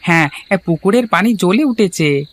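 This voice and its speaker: Man voicing a high-pitched, inquisitive villager. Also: lively intonation, moderate pace, no echo. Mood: amazed, questioning. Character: appreciative, inquisitive male villager. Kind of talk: scripted cartoon dialogue.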